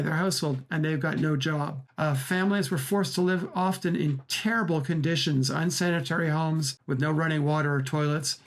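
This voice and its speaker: A man giving a prepared, first-person radio account as a documentary director, speaking in an earnest, emphatic way. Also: on the high side.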